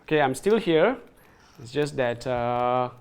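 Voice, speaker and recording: A man's voice: mid-range voice, lively intonation, unhurried, smooth. A man presenting a product on a livestream, demonstrating it and reading viewer comments, often repeating words and checking in with "right?".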